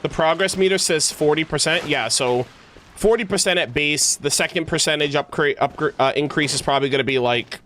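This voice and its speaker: A man's voice: a little high, a little fast, a bit bright, a little hiss or hum. A man streaming, chatting casually and rambling as he thinks aloud to his viewers. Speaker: livestreamer, host. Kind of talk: casual livestream chat. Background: music.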